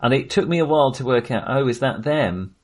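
A man's voice: mid-range voice, lively intonation, brisk, darkish tone. A man hosting a casual film discussion, wry and amused, rambling and hesitant as he trails off mid-sentence. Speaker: film podcast host.

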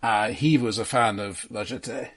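Husky-voiced man, measured and factual. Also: fairly low pitch, fairly bright tone. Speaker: film podcast co-host. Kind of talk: informative film discussion.

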